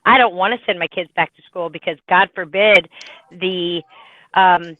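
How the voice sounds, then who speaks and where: A woman's voice: fairly low pitch, fairly even intonation, a little slow, dry recording. A woman in her thirties, a mother, talking anxiously and emphatically in a casual group voice chat. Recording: tinny phone-like line.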